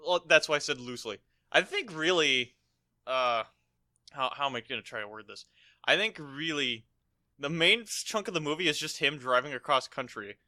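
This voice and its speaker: A man's voice: fairly high pitch, expressive, normal speed, pushed and bright. A man co-hosting a podcast in casual movie-review chat, blunt and given to swearing. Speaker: podcast co-host.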